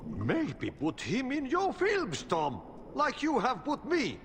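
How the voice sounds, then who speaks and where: An actor playing an eccentric, chatty Finnish man in a game cutscene, with a Finnish accent and halting, cryptic talk that slips into Finnish. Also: extremely high pitch, huge pitch swings, a bit bright, big-room sound, slight background noise, exaggerated voice. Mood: amused, admiring. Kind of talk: video game cutscene acting.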